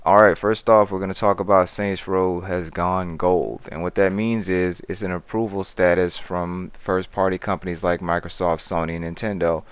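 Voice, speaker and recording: A man's voice: deep voice, fairly even intonation, moderate pace, hardly any pauses, smooth, no room sound. A man hosting a video channel with casual, informal gaming news commentary.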